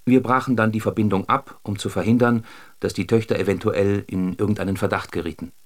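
An actor playing a communist informant in a documentary radio drama, giving a formal, matter-of-fact statement. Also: male voice, fairly low pitch, fairly even intonation, a little fast, natural voice. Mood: dry, factual.

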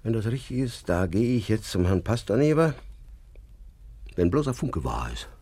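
A man's voice: very animated, moderate pace, warm tone, no echo. An actor playing a proud, stern old village father in a radio play, with an emphatic, hot-tempered way of talking. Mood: agitated, shaken. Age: older. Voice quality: husky, very deep. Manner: emphatic, agitated, swinging from muttering to outbursts. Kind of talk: naturalistic German radio play acting.

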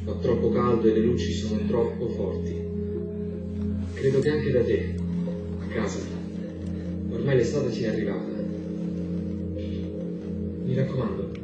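A man's voice: mid-range voice, a little slow, pauses often, natural voice. An actor playing a male prisoner reading out his tender, wistful letter home as a dramatic monologue. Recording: distant, very echoey, muffled, music over it. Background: music.

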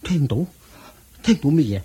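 A man acting a prince's loyal, impatient manservant in a radio drama, excitable and colloquial. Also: on the high side, moderate pace. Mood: soothing, puzzled. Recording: echoey radio drama recording.

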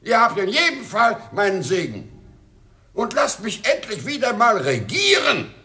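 Weary old emperor, doting but exasperated, high and sharp, stage-like radio drama. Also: male voice, wildly swooping pitch, measured pace, exaggerated voice. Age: older. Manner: declamatory, exasperated. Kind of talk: theatrical German radio play acting.

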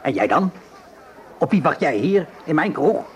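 An actor playing a middle-aged, cynical Parisian tavern keeper in a radio play, speaking in a weary, sardonic, measured way. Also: male voice, on the high side, sing-song. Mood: wary. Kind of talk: Dutch radio play acting. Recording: noisy old radio play recording.

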